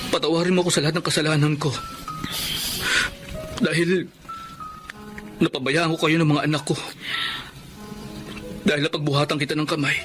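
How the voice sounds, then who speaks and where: An actor playing a humbled, remorseful husband, speaking earnestly in a Filipino radio drama. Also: male voice, on the high side, flat delivery, moderate pace, long pauses, music in the background, natural voice. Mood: pleading, remorseful. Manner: earnest, imploring. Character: remorseful, humbled husband pleading with his estranged wife.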